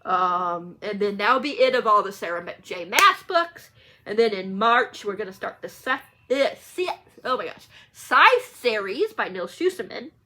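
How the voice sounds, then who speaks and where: A woman's voice: mid-range voice, big pitch swings, unhurried, sharp tone. A chatty woman book vlogger talking casually and unscripted to viewers, rambling and correcting herself as she goes. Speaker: book vlogger hosting a read-along.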